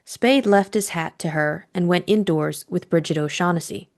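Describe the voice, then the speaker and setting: A woman's voice: lowish voice, moderate pace, a little mellow. A woman narrating a classic detective novel and discussing it as co-host. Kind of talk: scripted story narration and book discussion.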